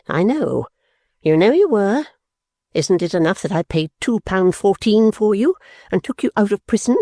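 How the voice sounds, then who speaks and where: A reader voicing a furious middle-aged woman who runs a shop, shrill and scolding. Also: dark tone, no echo, studio quality. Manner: screaming, scolding.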